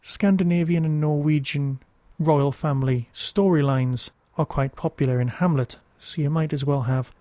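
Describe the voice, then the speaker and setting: A man's voice: fairly high pitch, steady tone, moderate pace, few pauses, mellow, dry recording. A man hosting a podcast, giving casual, digressive review commentary with wry asides.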